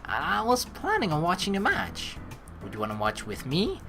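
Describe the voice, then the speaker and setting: A woman with a slightly low, highly animated voice plays through a game for viewers. She reads narration and dialogue aloud, then comments casually and playfully, with faint music behind her. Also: moderate pace. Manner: playful, expressive, acts out lines. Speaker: let's play host.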